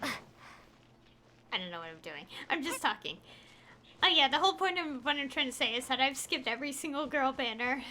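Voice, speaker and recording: A woman's voice: high-pitched, expressive, brisk, long pauses, bright, forceful tone, a little hiss or hum. A woman game streamer chatting casually and rambling, with an exasperated, opinionated, pleading emphasis. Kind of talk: casual rambling livestream chat.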